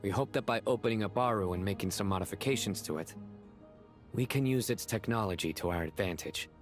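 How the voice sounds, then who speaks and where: An actor playing a stern, blunt, dutiful general in a video game, speaking directly, seriously and formally. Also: male voice, fairly low pitch, brisk, soft background music, lightly acted. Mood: grave, resolute. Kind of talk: game voice acting.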